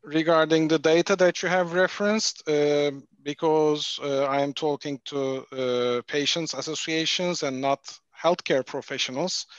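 A man from Turkey, a pharmaceutical executive, presenting formally at a webinar over a video call with frequent pauses. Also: fairly high pitch, steady tone, smooth, no echo. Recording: dull dry video-call audio.

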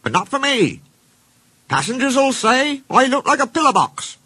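A man voicing a small, grumpy steam engine in a children's storybook, rude and quick-tempered, with a blunt grumbling manner. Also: fairly high pitch, very animated, a bit bright, husky, no echo, pitched above the performer's own voice, full character voice. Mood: fuming.

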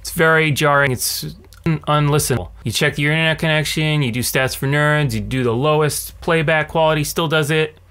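A man in his thirties talking casually to camera as a tech video host, with a sardonic, animated ranting manner. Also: a little high, brisk, smooth. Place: small cluttered home room. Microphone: large condenser mic on a stand beside him.

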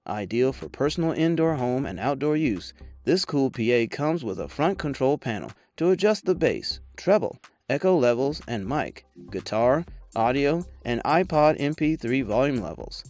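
Guy doing a scripted review voiceover, medium pitch, lively intonation, salesy polished read. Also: moderate pace. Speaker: product review narrator. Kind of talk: scripted voice-over narration. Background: background music.

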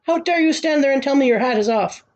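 A woman's voice: high voice, fast, a little mellow, lo-fi sound, pitched above the performer's own voice, natural voice. A woman voicing a pompous, angry, commanding storybook king. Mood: furious. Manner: imperious, demanding. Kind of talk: storybook character acting.

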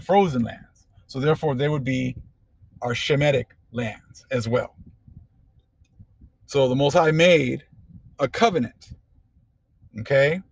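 A man with a gravelly, husky voice talks through scripture in an informal preaching style. He checks in with his listeners often and stays loose and conversational. The sound is dry and close, with no room echo. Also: medium pitch. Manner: conversational preaching with frequent "okay?" and "you know". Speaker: religious teacher. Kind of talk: informal scripture teaching over a book page.

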